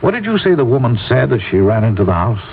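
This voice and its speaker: An actor playing a composed, brooding doctor in an old-time radio drama, speaking in a measured, formal, deliberate way. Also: male voice, medium pitch, big pitch swings, a little hiss or hum. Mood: ominous. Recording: muffled, narrow-band vintage radio recording.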